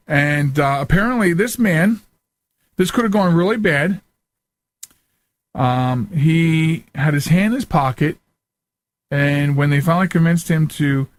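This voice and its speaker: A man's voice: a little high, no echo. A man hosting a radio show, talking casually and informally in a folksy, conversational way as he gives his commentary.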